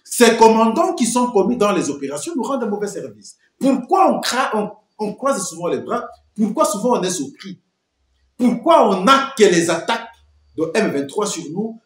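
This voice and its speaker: A man's voice: sits high, big pitch swings, moderate pace, echoey room. A man giving emphatic political commentary, full of rhetorical questions and repeated phrases.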